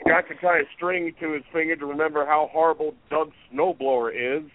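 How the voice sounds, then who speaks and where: A man's voice: a little high, level tone, a little fast, no room sound. Man, dry joke recap, frequent uh fillers, tinny phone sound, dead dry. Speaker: co-host giving a recap. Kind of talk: casual call-in radio chat. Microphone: phone call.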